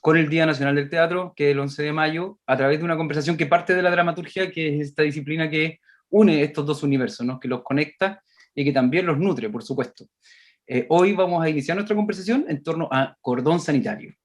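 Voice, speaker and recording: A man hosting a video call talk, animated and repeating phrases for emphasis. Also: on the high side, brisk. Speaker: host. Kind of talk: moderating a casual conversation.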